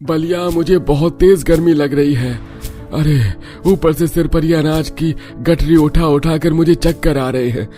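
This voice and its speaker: A man's voice: a little high, a little slow, dark tone, soft background music, natural voice. A male actor playing an exhausted, complaining poor villager in a cartoon story. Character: exhausted poor villager carrying grain in the heat. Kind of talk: Hindi cartoon story acting.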